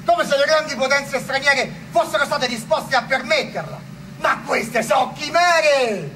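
A man's voice: extremely high pitch, unhurried, bright, forceful tone, recorded from a distance, soft background music, full character voice, poor audio. A man acting a troubled nineteenth-century Pope in a historical drama, declamatory. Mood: indignant. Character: troubled Pope and Roman men in 1849. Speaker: stage actor and narrator. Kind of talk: theatrical historical narration and dialogue.

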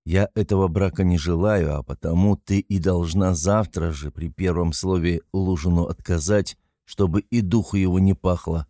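A man's voice: low voice, fairly even intonation, moderate pace, hardly any pauses, mellow, no room sound, clean studio sound, lightly acted. A man voicing a feverish, irritable young man in an audiobook, a proud and domineering brother who speaks in a strained, curt, imperious way.

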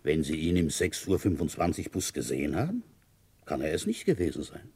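An actor playing a shrewd, quietly ambitious rural police inspector in a German radio crime drama, questioning in a pointed, probing way. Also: male voice, rumbling low, wildly swooping pitch, a little fast, husky, full character voice. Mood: blunt, insistent.

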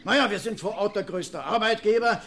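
A man's voice: high voice, fairly quick, fairly bright tone. An actor playing a jovial, worldly general in a German radio play, musing and chuckling as he talks.

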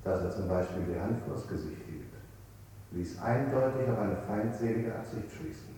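A man's voice: on the low side, expressive, normal speed, mellow, slight background noise, close to an everyday voice. An actor playing a coolly accusing interrogator in a radio play, formal and clinical as he lists his charges. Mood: coldly reporting. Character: cold interrogator, male, coolly accusing, pseudo-logical. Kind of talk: avant-garde radio play acting. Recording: roomy, echoing studio sound.

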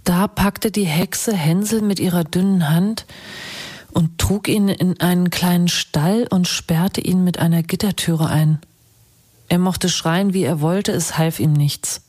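Radio play reporter, lowish husky voice, takes her time, thoughtful. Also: steady tone, a little slow, darkish tone, no echo, studio quality. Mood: storytelling. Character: thoughtful female journalist investigating a fairy tale. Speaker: journalist. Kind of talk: naturalistic radio drama, storytelling.

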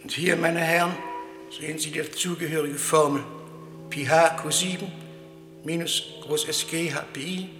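A man's voice: fairly high pitch, fairly even intonation, normal speed, natural voice. Radio drama, male actor: dutiful scientist, coolly precise and clipped, raised register, reverberant with music behind. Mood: precise, zealous. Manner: precise, formula-reciting, clipped. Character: dutiful male colleague professor, precise, coolly zealous about destruction. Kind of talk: German radio play acting.

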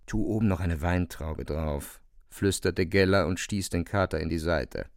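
A man's voice: low-pitched, unhurried, dry recording, studio quality. A man narrating a literary radio play from a script as its storyteller.

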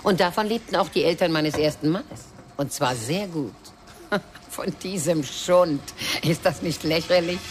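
A woman's voice: fairly low pitch, a little slow, soft background music, close to an everyday voice. An actress playing a shrewd middle-aged mother in a radio crime drama, chatty and probing with a sardonic edge. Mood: brisk, sociable.

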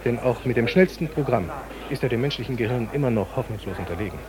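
Ein Schauspieler spielt in einem Hörspiel einen ernsten Computerwissenschaftler, der präzise und lehrhaft sein System erklärt. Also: male voice, mid-range voice, lively intonation, fast talker, a crowd in the background, close to an everyday voice. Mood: earnest, explanatory. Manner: didactic, precise, technical. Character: male computer scientist explaining his system, earnest and clinical. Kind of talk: radio drama dialogue.

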